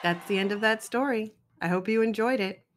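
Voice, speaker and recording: A woman reading a children's picture book aloud in a rhythmic, sing-song, expressive way, drawing out sound words. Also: medium pitch, a little fast, a little hiss or hum. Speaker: children's storybook reader.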